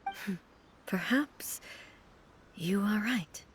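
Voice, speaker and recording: A woman playing a composed, gentle, regal ruler in a video game, measured and reassuring. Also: mid-range voice, animated, a little hiss or hum. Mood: calm, gentle. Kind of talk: dramatic video game voice acting.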